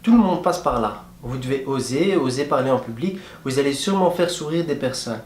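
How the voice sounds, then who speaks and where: A man's voice: mid-range voice, animated, brisk. Young man vlogging in an echoey room. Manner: friendly, plain-spoken, addresses viewers directly. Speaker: travel vlogger. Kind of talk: casual advice vlog to camera.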